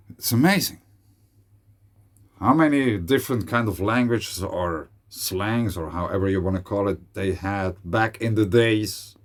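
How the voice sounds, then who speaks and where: A man in his forties with a Swiss German accent, rambling in a casual solo video with many fillers and restarts. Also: on the low side. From Switzerland. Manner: rambling, hesitant, with frequent fillers. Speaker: video creator. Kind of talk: casual monologue.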